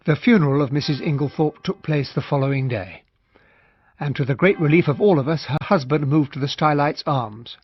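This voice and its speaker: An actor playing a well-bred, earnest English army captain in a radio drama, with a husky voice and an English accent. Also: male voice, a little high, brisk. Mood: composed narration. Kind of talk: radio drama acting and narration. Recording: muffled, tinny radio drama recording.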